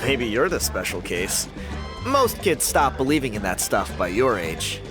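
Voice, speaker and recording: A casual young man who teases his friend in an easy, lively voice. Clear game music plays behind him. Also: medium pitch, unhurried. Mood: teasing. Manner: casual, teasing.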